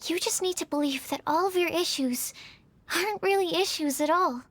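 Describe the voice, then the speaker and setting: A woman voicing a shy, kind young researcher in a video game, speaking in a gentle, earnest way. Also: extremely high pitch, moderate pace, no room sound. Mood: sincere.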